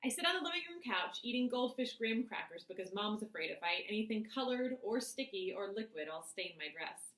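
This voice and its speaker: A woman reading a children's novel aloud as its author, with expressive first-person narration. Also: medium pitch, brisk, hardly any pauses. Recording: echoey room.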